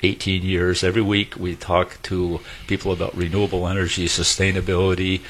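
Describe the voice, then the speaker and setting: A man's voice: sits low, little pitch movement, a little fast, a bit bright, a little hiss or hum, rough recording. An older man hosting a radio show, folksy and joking in casual chat. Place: radio studio.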